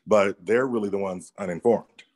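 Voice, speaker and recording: A man's voice: fairly low pitch, normal speed, darkish tone. A man sharing his views in a casual video-call discussion, thoughtful and conversational, often checking in with "right?". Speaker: workshop participant. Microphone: laptop or phone on a video call.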